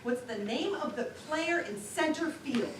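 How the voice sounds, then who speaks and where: A woman's voice: sits high, expressive, a little slow. Woman acting a confused reporter, distant echoey stage recording. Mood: exasperated. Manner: earnest, insistent questioning. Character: polite, increasingly confused female newspaper reporter, new to baseball. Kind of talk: live stage comedy routine. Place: small-town theater stage. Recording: distant mic in echoey theater, noisy.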